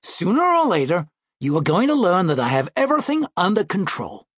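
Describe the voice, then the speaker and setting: A man plays a pompous, self-assured young boy in a children's audiobook. The voice is lifted high and swings about with breezy confidence, wordy and smug. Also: huge pitch swings, a little fast, husky, no room sound, studio quality, full character voice. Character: confident, pompous, precocious seventh-grade boy. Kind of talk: audiobook character voice.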